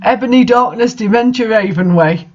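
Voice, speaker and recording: A man's voice: very high-pitched, moderate pace, voice pushed up. A man voicing a grandiose, defiant old headmaster with portentous emphasis in a story reading. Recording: dull lo-fi recording.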